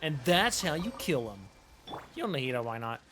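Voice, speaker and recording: A man's voice: fairly high pitch, big pitch swings, normal speed, fairly bright tone, soft background music. A man giving casual, chatty, playful commentary while live streaming a video game. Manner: chatty, playful, muttering nonsense syllables.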